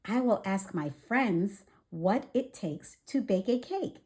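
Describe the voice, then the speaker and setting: Woman voicing an eager, slow-spoken little raccoon girl. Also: medium pitch, lively intonation, a little mellow. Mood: eager, friendly. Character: eager, polite, grateful young female raccoon baker. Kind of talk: children's storybook character voice.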